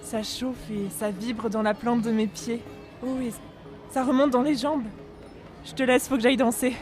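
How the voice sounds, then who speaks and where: A woman plays an enthusiastic dancer who talks excitedly about what she feels. Her voice sits a little high, and music plays clearly behind her. It sounds like dialogue from an audio fiction. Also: normal speed, close to an everyday voice. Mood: sensing, absorbed. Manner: excited, chatty.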